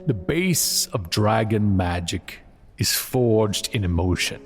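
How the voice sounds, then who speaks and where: An actor playing a grave male mentor in a fantasy audio drama, speaking in a deliberate, measured way. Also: lowish voice, lively intonation, soft background music. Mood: solemn, measured. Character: grave male mentor explaining dragon magic.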